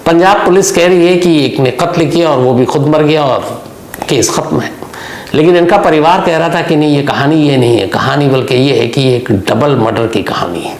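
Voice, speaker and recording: Male true-crime host, gravelly and explanatory, telling it unscripted in a reverberant room. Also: a little high, normal speed. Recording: echoey room, faint background noise.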